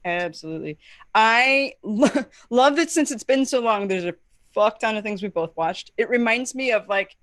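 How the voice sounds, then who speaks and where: A woman's voice: fairly high pitch, expressive, normal speed, a bit bright, dry recording, clean studio sound. A woman co-hosting a podcast in casual chat, with a scratchy throat, blunt and swearing freely, laughing often. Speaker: podcast co-host.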